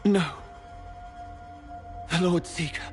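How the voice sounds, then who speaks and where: A dying, bitter warrior in a fantasy game. His fairly high voice is weak and pained, very slow and broken, and rings in a long hall reverb. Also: fairly even intonation, faint music behind. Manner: halting, broken phrases. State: weak, in pain. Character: Daniel, dying young male warrior, tormented and bitter. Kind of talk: video game voice acting.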